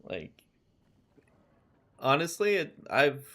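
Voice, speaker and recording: A man's voice: a little high, very animated, extremely slow, bright, forceful tone. A man streaming a video game, chatting casually with his viewers while he plays, rambling easily between game callouts. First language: English. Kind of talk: casual chat while gaming.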